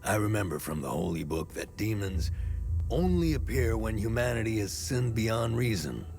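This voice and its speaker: Gravelly, world-weary survivor. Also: male voice, on the low side, big pitch swings, darkish tone, soft background music. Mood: fearful, grim. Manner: grim, world-weary, deliberate. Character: weary, bitter, devout middle-aged man in a war-torn country. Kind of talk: dramatic video game dialogue.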